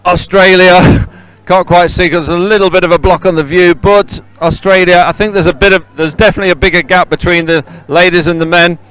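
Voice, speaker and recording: A man giving live, unscripted sports commentary outdoors, halting often to repeat and correct himself. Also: high voice, steady tone, fairly bright tone, clear, resonant tone, poor audio. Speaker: race commentator. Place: outdoors beside a race course.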